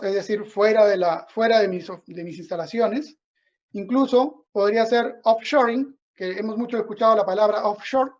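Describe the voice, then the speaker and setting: A lecturer with a Peruvian accent giving an explanatory business webinar in a didactic way, often checking understanding with short tag questions. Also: medium pitch. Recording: dull lo-fi webinar audio.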